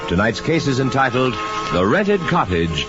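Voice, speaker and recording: A man's voice: mid-range voice, sing-song, normal speed. A man announcing and narrating in a dramatic, theatrical 1940s radio style. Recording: dull old radio recording with music.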